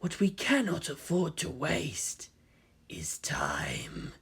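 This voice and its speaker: A narrator voicing a sinister, severe old man, a conspirator with a breathy, whispery voice. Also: mid-range voice, huge pitch swings, slow pace, fairly bright tone, full character voice. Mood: menacing, grave.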